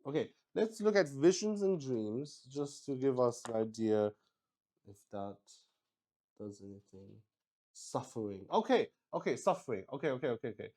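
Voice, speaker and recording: A man's voice: mid-range voice, big pitch swings, moderate pace, warm tone. A man improvising narration for a solo tabletop roleplaying game, thinking aloud hesitantly and often repeating his words. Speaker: solo roleplay host and player.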